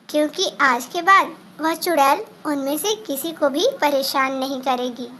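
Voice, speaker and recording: A woman's voice: sits high, bright, forceful tone, a little hiss or hum. A woman reading a scripted fairy tale for children as a storyteller.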